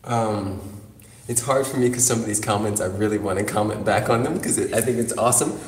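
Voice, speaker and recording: A man's voice: lowish voice, fairly quick, distant mic. A man speaking as an interview guest in casual discussion, philosophical and emphatic, with some swearing. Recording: echoey, reverberant room.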